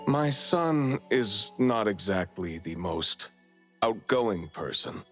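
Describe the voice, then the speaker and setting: A man's voice: lowish voice, lively intonation, takes it slowly, fairly bright tone. An actor playing a worried, polite father of a missing boy in a video game cutscene, earnest and anxious. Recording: background music under the voice.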